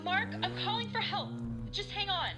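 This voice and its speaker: A woman's voice: extremely high pitch, wildly swooping pitch, normal speed, over loud music, exaggerated voice. A woman playing an exasperated yet concerned former partner in an audio drama, speaking with emotive urgency. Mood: alarmed.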